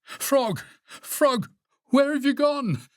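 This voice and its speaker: A reader voicing an excitable toad in a children's storybook, exclaiming and pleading in an animated character voice. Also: mid-range voice, slow, no echo, clean studio sound, voice pushed up, heavily acted. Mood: anxious, pleading. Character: boastful then anxious, lonely toad.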